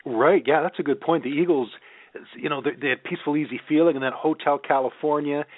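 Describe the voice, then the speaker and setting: A man's voice: on the high side, fairly even intonation, fast, dry recording. Male interviewer, thin phone-like sound. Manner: affirming, encouraging. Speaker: radio interviewer. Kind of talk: conversational interviewing. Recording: narrowband phone-like radio sound.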